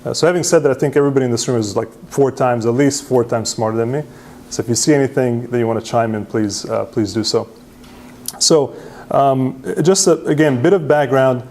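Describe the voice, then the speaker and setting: A man presents to a meetup crowd. He is self-deprecating and casual, often tags his points with a quick "right?" and restarts his sentences as he goes. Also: mid-range voice, a little mellow. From Serbia. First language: Hungarian. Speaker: presenter at user group meetup. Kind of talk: conference talk with slides.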